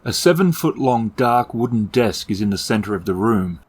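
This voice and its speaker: A man narrating a tabletop game as game master in a measured, dramatic storytelling manner. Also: on the low side, expressive.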